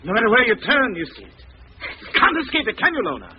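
A man's voice: high-pitched, wildly swooping pitch, quick pace, dull audio. An actor playing a menacing, vengeful disfigured stage star who taunts his captive theatrically in an old radio thriller. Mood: gloating menace. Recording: noisy, band-limited vintage radio recording.

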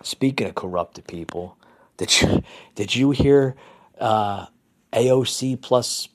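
An American man from New Jersey hosting a conservative podcast, giving unscripted, opinionated commentary in a halting, repetitive way and addressing listeners as folks. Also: medium pitch. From New Jersey, United States.

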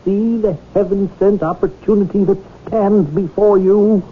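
A man's voice: sits high, fairly even intonation, unhurried, slightly rough. An actor playing a pompous male animal lover who pleads grandly in an old radio drama. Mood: grandiose. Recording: tinny lo-fi radio broadcast.

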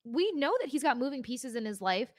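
A woman's voice: sits high, animated, brisk, no room sound, studio quality. A woman co-hosting a sports podcast, giving casual, conversational analysis and reaction with emphatic points.

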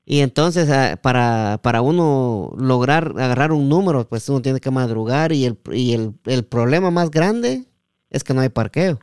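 A man's voice: mid-range voice, lively intonation, normal speed, a little mellow, smooth, no echo, studio quality. A man with a Guatemalan accent hosting a casual interview, hesitating and repeating words as he forms his questions. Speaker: podcast host interviewing a guest.

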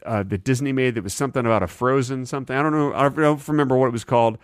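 A man co-hosting a comedy podcast, bantering in a deadpan, playfully evasive way. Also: medium pitch, very fast, smooth, dry recording, clean studio sound. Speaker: podcast co-host.

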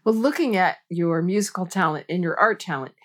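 A woman's voice: lowish voice, fairly quick, fairly bright tone. Chatty, enthusiastic American woman host. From United States. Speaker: podcast host. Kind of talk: casual interview chat.

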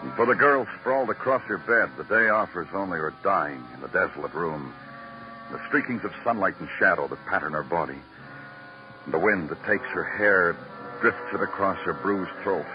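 An actor playing a terse, world-weary police detective in a 1950s radio crime drama. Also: male voice, low voice, lively intonation, moderate pace. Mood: brooding, lyrical. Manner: clipped questions, brooding poetic narration. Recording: muffled old radio recording, music behind.

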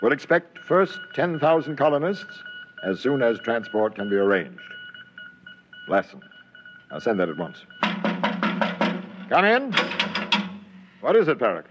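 An actor playing an eager, confident alien military commander in a mid-century radio drama, clipped and commanding. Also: male voice, medium pitch, big pitch swings, a little slow, long pauses, over music. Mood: brisk, commanding. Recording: dull vintage radio recording.

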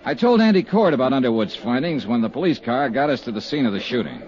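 A man voicing a hard-boiled insurance detective, wry and clipped, lively pitch, dull old broadcast sound. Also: fast, natural voice. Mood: narrating. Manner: clipped, dry first-person narration. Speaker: insurance investigator and narrator. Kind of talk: 1950s radio drama acting.

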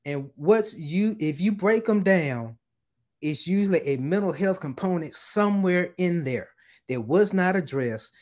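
An earnest man speaking as a guest on a casual podcast, slowing down to stress his points. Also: high-pitched, animated, a little mellow.

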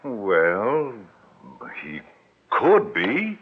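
Old-time radio con man, pompous and fatherly; slow, low, lively delivery on a tinny line. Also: husky, full character voice. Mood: scheming. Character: smooth-talking older male con man, grandiose. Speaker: swindler. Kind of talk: 1940s radio acting.

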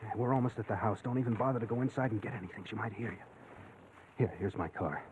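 An actor playing a terse, cynical, wisecracking young fugitive in an old-time radio drama. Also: male voice, fairly low pitch, fast talker, a little mellow, close to an everyday voice. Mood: impatient. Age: young adult. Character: fast-talking, cynical young fugitive drifter. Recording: tinny, noisy vintage radio recording.

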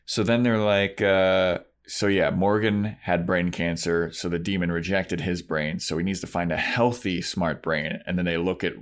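A man's voice: low-pitched, steady tone, moderate pace, few pauses. A man co-hosting a podcast, casually recapping a story in an informal way and quoting the characters as he goes. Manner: informal retelling with acted-out quotes. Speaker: podcast co-host. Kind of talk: casual chat recapping a TV episode.

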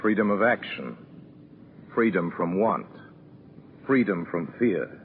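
Grave wartime narrator, very low voice, oratorical, tinny vintage radio sound. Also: male voice, expressive, takes it slowly, heavily acted. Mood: stirring. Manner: solemn, rhetorical. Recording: tinny, noisy 1940s radio recording.

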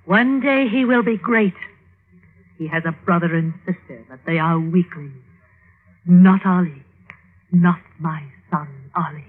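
A woman playing a ruthless, scheming mother in a classic radio drama, speaking with deliberate, commanding insinuation. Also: fairly low pitch, phone-quality sound, slight background noise, lightly acted. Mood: proud, fervent. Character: ruthless, scheming mother goading her son to kill. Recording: band-limited old radio recording.

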